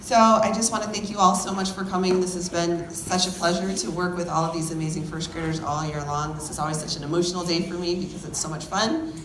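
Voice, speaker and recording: A woman's voice: lowish voice, steady tone, fast talker, hardly any pauses. A woman speaking as a first grade teacher, addressing children and parents at a school event. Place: echoey room at a school event. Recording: roomy, noisy classroom event recording.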